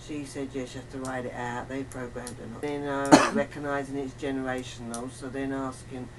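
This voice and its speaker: A woman's voice: a bass voice, little pitch movement, normal speed. A woman chatting casually, emphatic and often checking that her listener agrees. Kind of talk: casual chat, conversational. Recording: clearly noisy background.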